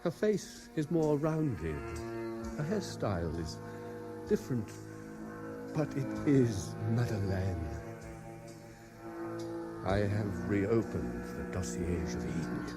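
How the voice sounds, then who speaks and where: An actor in a radio drama playing a haunted, obsessive French man, anxious and intense, with a hesitant, halting delivery. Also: on the low side, very animated, loud music behind the voice. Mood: brooding, obsessed. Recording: 1970s radio drama broadcast.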